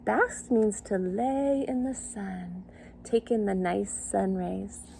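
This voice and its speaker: A woman's voice: mid-range voice, slow pace, vocal fry, warm tone, slight background noise. A woman reading a picture book to young children in a sing-song style, with simple child-directed phrasing and little questions. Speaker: children's circle time teacher and story reader.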